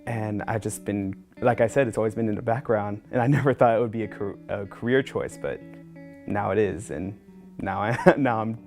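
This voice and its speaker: A man's voice: lowish voice, normal speed, creaky. A young man, a former intern, talking casually and reflectively in a documentary interview. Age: young adult. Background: soft guitar music.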